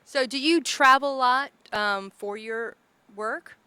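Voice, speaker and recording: A woman's voice: sits high, slow pace, pushed and bright, clear, resonant tone, no echo. A woman hosting an interview, chatty and enthusiastic, using "like" a lot. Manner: chatty, enthusiastic, says "like" often. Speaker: host. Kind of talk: casual interview. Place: bar and grill.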